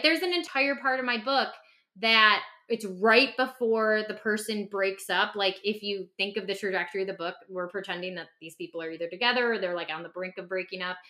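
A woman's voice: mid-range voice, bright, forceful tone. A woman speaking as a podcast host and clinician in candid conversation, passionate and emphatic, repeating phrases for stress.

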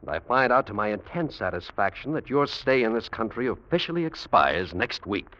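A man's voice: on the low side, big pitch swings, a little fast, bright, forceful tone. An actor playing a resentful, suspicious young society man in an old-time radio drama, stern and pointed with his rival. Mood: accusing, satisfied. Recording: dull old radio recording.

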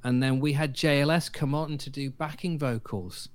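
A man's voice: mid-range voice, moderate pace. Englishman in casual, chatty conversation. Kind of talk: casual chat. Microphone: remote internet call.

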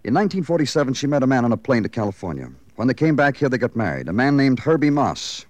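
An actor playing a terse, dogged police lieutenant in an old radio crime drama, clipped and businesslike. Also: male voice, medium pitch, very animated, fast, darkish tone, no echo, close to an everyday voice. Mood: pressing.

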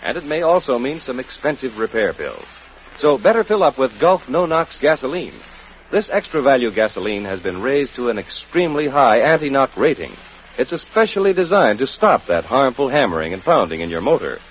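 Old time ad announcer guy, super lively intonation, folksy sales talk, dull radio sound w/ faint noise. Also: mid-range voice, brisk. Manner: folksy direct salesman address. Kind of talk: scripted 1940s radio commercial. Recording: muffled, faintly noisy vintage radio broadcast.

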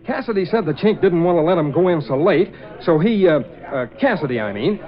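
A man's voice: on the high side, lively intonation, a little fast, lightly acted. An actor playing a brash young prankster of a newspaperman, chatty and slangy, in 1940s radio style. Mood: uneasy explaining. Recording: dull old radio recording, chatter behind.

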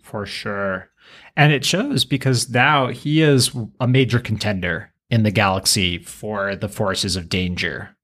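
A man co-hosting a podcast, talking casually in a measured, explanatory way. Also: on the low side, fairly bright tone. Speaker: podcast co-host.

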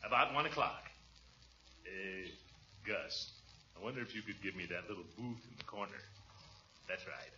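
An American actor playing a jovial, pompous middle-aged uncle in a 1940s radio comedy, theatrical and grandiose. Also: male voice, lowish voice, expressive, normal speed, pauses often, sharp tone. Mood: businesslike, pompous. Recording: muffled, noisy old radio recording.

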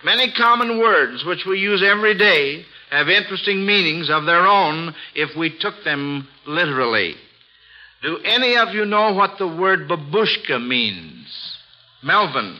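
A man's voice: high-pitched, big pitch swings, measured pace. A man hosting an old-time radio quiz for children, with a folksy, avuncular, exclaiming way of talking. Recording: dull vintage radio broadcast.

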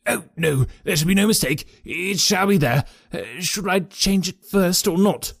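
A bullying old horse trainer, put on by a man reading a storybook, barking in a voice lifted high above the narrator's. He blusters and snaps. The recording is dry and close. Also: unhurried, gravelly, husky, no room sound, full character voice. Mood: cringing. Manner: blustering, shouting. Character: fierce bullying elderly male horse trainer.

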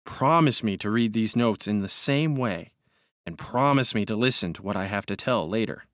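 A man's voice: lowish voice, moderate pace, no room sound, clean studio sound, natural voice. A man voicing a deluded, imperious madman in a storybook reading, grandiose and commanding, with simmering rage. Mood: solemn, insistent.

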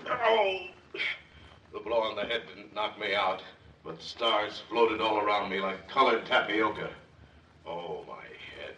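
Deep, echoing hard-boiled detective. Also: male voice, sing-song, measured pace, bright, forceful tone, heavily acted. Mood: melodramatic. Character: hard-boiled, melodramatic male detective narrating his adventure. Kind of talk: parody noir narration, old radio drama. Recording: echoey, distant, faintly noisy old recording.

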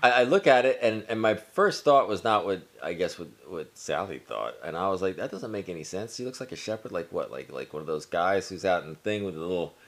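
A man's voice: low-pitched, expressive, a little fast, hardly any pauses. A man co-hosting a casual podcast, telling stories in a laid-back, profane way with many restarts. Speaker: podcast co-host. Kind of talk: casual chat.

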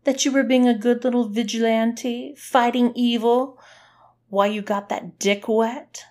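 A woman's voice: medium pitch, slow, creaky. A woman voicing a domineering, sneering dominatrix in an audiobook story, commanding and taunting. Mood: nasty, taunting.

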